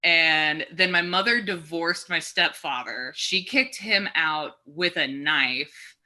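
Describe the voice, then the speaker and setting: A woman's voice: low-pitched, slow pace, sharp tone. A woman in her twenties from Texas, a stand-up comedian telling her story as a podcast guest in a chatty, darkly joking way. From Texas, USA. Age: 20s. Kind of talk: casual conversational storytelling.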